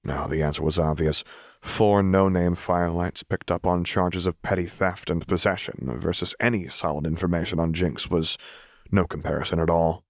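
A man's voice: deep voice, fairly even intonation, moderate pace, no room sound, studio quality. A man reading fiction aloud as scripted audiobook narration. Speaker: audiobook reader.

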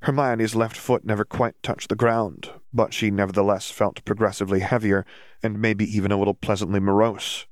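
A man's voice: lowish voice, little pitch movement, moderate pace, no room sound, studio quality. A man reading scripted fiction aloud as an audiobook narrator.

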